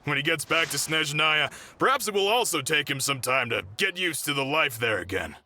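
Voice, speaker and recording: An actor playing a chatty, reflective veteran soldier in a video game, musing conversationally. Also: male voice, on the high side, lively intonation, normal speed, pushed and bright, slight background noise.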